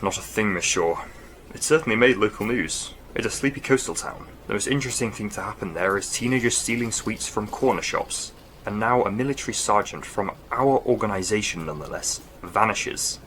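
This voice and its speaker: Man playing a very low-voiced, dry military commander. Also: fairly quick, a bit bright, slight background noise, lightly acted. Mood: dry, concerned. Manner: clipped, dry, authoritative. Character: stern, dry-witted male military commander. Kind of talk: audio drama acting.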